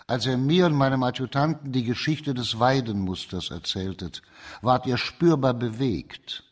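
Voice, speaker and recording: A man acting as a shrewd, composed magistrate in a radio crime drama, laying out his case in measured, formal German radio-play style. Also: mid-range voice, lightly acted. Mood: calm, probing. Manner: measured, methodical, formal, explanatory.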